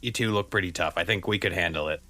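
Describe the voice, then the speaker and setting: A man with a low voice plays a tabletop game. He improvises his character's actions and talk haltingly, thinking aloud as he goes. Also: bright, forceful tone.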